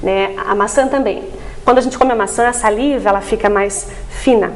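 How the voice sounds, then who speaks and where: A woman's voice: mid-range voice, fairly quick, rough recording. A woman explaining things didactically as a specialist guest in a TV interview, often adding short tag questions. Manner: didactic, frequent tag questions seeking agreement. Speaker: voice therapist guest.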